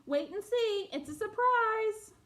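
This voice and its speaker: A woman's voice: very high-pitched, expressive, takes it slowly, bright, forceful tone, a little hiss or hum, voice pushed up, full character voice. Woman voicing a teasing panda baker. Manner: sing-song, teasing. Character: patient panda baker, secretive.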